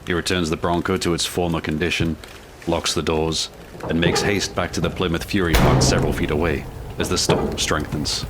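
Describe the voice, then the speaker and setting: A man narrating a scripted gothic audio drama as a measured, descriptive storyteller. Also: deep voice, flat delivery, very noisy.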